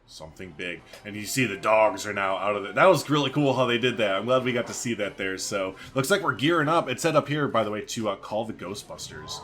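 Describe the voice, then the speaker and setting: A man's voice: lowish voice, lively intonation, a little fast, few pauses, fairly bright tone. A man hosting a let's-play video, chatting informally and thinking aloud as he plays. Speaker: gaming video host. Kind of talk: casual let's-play commentary. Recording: faint background noise and game ambience.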